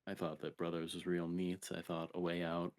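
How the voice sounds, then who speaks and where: A man's voice: deep voice, completely flat delivery, moderate pace. A man co-hosting a podcast, chatting casually and informally about video games. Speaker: podcast co-host.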